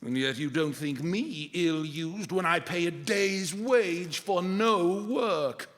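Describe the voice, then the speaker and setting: A man's voice: high voice, takes it slowly, fairly bright tone. An actor in live radio theater playing a bitter, miserly old businessman, scornful and grumbling. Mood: grumbling, stern. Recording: echoey theater hall, live performance.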